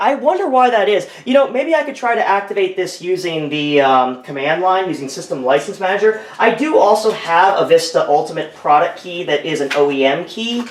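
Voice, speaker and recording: Rambling man in an echoey room. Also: high voice. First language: English. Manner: rambling, self-correcting, thinking aloud. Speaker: tech video creator. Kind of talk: casual screen-recording commentary.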